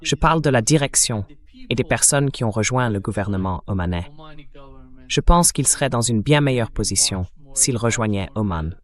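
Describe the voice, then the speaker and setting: A man's voice: mid-range voice, expressive, moderate pace. A man hosting a conversational political discussion, in a synthetic translated dub. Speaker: interview host.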